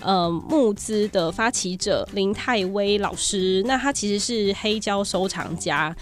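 A woman's voice: medium pitch, a little slow. A woman with a Taiwanese Mandarin accent, hosting a podcast in a conversational way. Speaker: podcast host. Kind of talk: conversational podcast introduction and banter.

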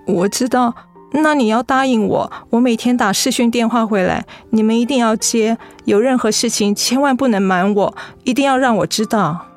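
An actress as a devoted young woman in a radio drama, a daughter with a fairly high voice. She speaks with tenderness and an anxious edge, over music. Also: normal speed, lightly acted. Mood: pleading. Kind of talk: Mandarin radio drama acting.

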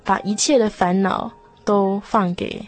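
A woman's voice: medium pitch, fairly even intonation, a little slow. A woman guest on a radio show, sharing her reflections casually in an earnest, encouraging way.

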